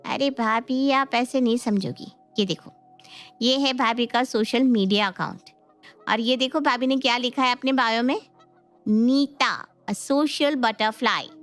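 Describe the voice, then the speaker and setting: A woman voicing a sharp-witted, watchful young sister-in-law in a family storybook, talking in a knowing, pointed way. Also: a little high, lightly acted. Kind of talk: dramatised Hindi family storybook. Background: music.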